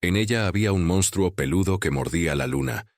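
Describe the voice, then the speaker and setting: Very deep-voiced male horror storyteller. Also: animated, fairly quick, vocal fry, no room sound, clean studio sound.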